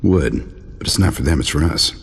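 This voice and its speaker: Deep-voiced, headstrong inventor hero. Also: male voice, expressive, moderate pace, vocal fry, slight background noise, heavily acted. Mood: proud, confident. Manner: confident, resolute. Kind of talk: dramatic video game acting.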